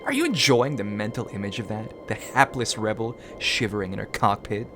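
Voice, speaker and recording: An actor, a man, playing a brash, taunting young female rebel mech pilot in an audio drama, with a teasing, excitable delivery. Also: fairly low pitch, brisk, background noise, lightly acted. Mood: hostile.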